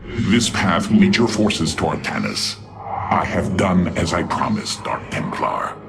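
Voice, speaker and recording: An actor playing a haughty, menacing alien warlord in a video game, with a gravelly, imperious delivery. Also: male voice, deep voice, expressive, heavily acted. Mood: cold, smug. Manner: imperious, slow and commanding. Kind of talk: dramatic video game voice acting. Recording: loud music behind the voice.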